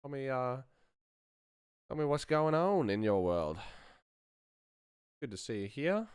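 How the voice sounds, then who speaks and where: A man's voice: medium pitch, big pitch swings, measured pace, a little mellow, clean tone, no echo, clean studio sound. A man hosting a casual live stream as a sound designer, rambling and thinking aloud as he works.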